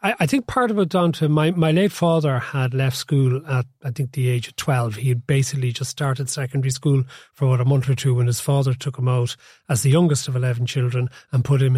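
A man in his fifties with a Cork accent, co-hosting a podcast and explaining things in a measured, self-deprecating way. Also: medium pitch, darkish tone, no echo, studio quality. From Cork, Ireland. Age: 50s. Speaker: podcast co-host. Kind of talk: conversational political chat.

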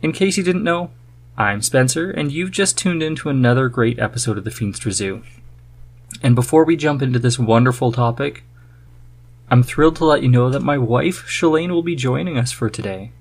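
A man's voice: medium pitch, moderate pace. A man hosting a podcast in a casual, chatty style. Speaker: podcast host. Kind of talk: casual chat.

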